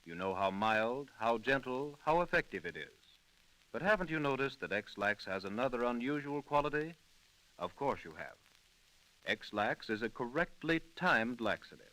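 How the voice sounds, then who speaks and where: A man announcing a scripted sponsor message on old-time radio, with emphatic, measured salesman phrasing. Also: mid-range voice, animated, a bit bright, poor audio.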